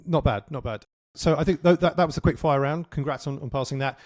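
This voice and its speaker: A man's voice: fairly high pitch, fast, a little mellow. A man hosting a business podcast in a casual, chatty way, with many fillers and restarts. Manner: chatty, lots of fillers and restarts, friendly asides. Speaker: podcast host.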